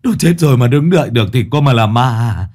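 A man voicing a frightened young man who hesitates and stammers in a husky voice. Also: medium pitch, animated, fast talker, darkish tone, natural voice. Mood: nervous.

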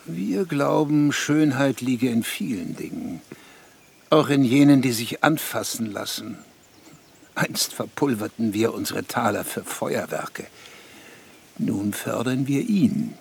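Creaky, imperious prince-elector. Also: male voice, mid-range voice, big pitch swings, slow pace, full character voice. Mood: self-satisfied. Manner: stately, imperious, archaic royal "we". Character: imperious, demanding male prince-elector, vain patron. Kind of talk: radio drama acting.

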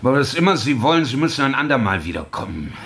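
A man's voice: medium pitch, big pitch swings, fast. An actor in an audio drama playing a flustered male Soviet journalist, indignant and fearful under threat. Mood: irritated, indignant. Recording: loud music under the voice.

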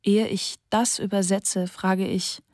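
A woman reading a wartime diary for a radio feature, calm and measured. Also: mid-range voice, no room sound, clean studio sound.

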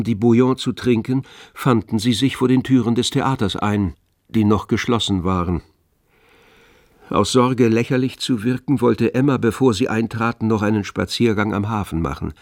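A man's voice: mid-range voice, normal speed, a little mellow, dry recording, clean studio sound, close to an everyday voice. A man acting as the narrator of a literary radio play, telling a nineteenth-century story. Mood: measured narrating. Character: literary narrator of a 19th-century French novel. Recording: music under the voice. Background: music.

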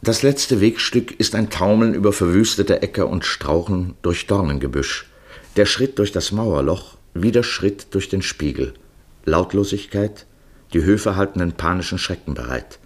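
A male narrator with a low-pitched voice. He delivers scripted, literary radio play text in a solemn, poetic and measured way.

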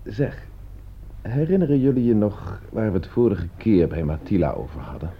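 An actor in a Dutch radio play playing an urbane but stubborn host among friends, with a husky voice and an insistent manner. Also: male voice, fairly low pitch, very animated, normal speed, a little hiss or hum. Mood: casual. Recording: dull old radio play recording.

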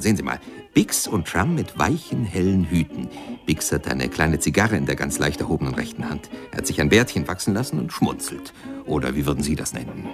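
A man acting in a German radio play as a musing biographer-narrator who conversationally questions and prompts his listener. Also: low-pitched. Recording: music clearly behind the voice.

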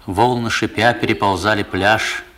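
Casting: male actor as deep, measured, reflective narrator for a 1960s literary radio drama. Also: steady tone, crowd noise, close to an everyday voice. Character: reflective grown man recalling his boyhood, narrator.

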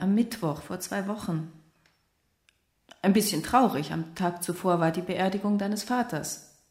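A woman in her thirties in a naturalistic radio drama. Her voice sits a little low, soft and conversational with affectionate teasing, and rings in an echoey room. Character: affectionate pregnant woman historian, loyal visitor. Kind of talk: naturalistic German radio play acting.

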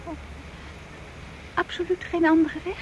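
High-voiced nervous female accomplice, noisy recording. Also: little pitch movement, measured pace, dull audio. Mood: uneasy, intimate. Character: nervous, excited female accomplice on a night job. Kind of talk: naturalistic radio drama acting. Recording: noisy radio drama recording.

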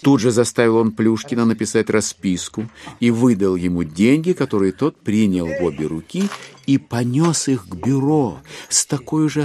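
An actor narrating a classic satirical novel in an audio play, as a literary storyteller. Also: male voice, mid-range voice, mellow, lightly acted.

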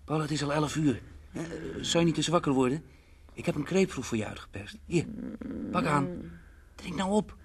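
A man acting a playful, cajoling holidaymaker with a very lively, swooping pitch. He teases and coaxes wryly in radio-play style, heard at a distance through faint hiss. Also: normal speed, close to an everyday voice. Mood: coaxing. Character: teasing, coaxing man on holiday with his hungover partner. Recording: distant, slightly hissy old radio recording.

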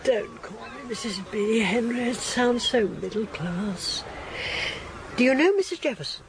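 An actress playing a sociable, bossy country matron with an upper-class English accent in a radio drama. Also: female voice, on the high side, very animated, a little slow, background noise. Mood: effusive. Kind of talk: British radio drama acting.

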